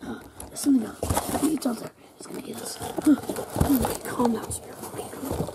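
A woman play-acting frightened toy film-crew survivors fleeing a monster in amateur found-footage horror, with breathless exclamations and hushed stammering. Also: on the high side, brisk, creaky, whispered. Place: outdoors on a covered paved patio. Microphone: handheld camera microphone. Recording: faint noise, handheld camera audio.

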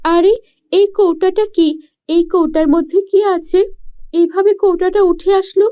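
Woman voicing a high-pitched, spirited village girl. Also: steady tone, a little slow, highs cut off. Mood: curious. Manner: earnest, protesting, questioning. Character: poor village girl, spirited, indignant, devoted daughter. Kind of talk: cartoon storybook character voice.

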